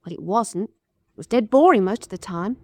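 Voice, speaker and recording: A woman's voice: mid-range voice, animated, moderate pace, no room sound. An actress playing a plain-spoken English woman in her twenties in a wartime radio drama, talking in an artless, colloquial way. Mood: chatty, grumbling. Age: 20s. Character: chatty, plain-spoken young British survivor, twenty-three.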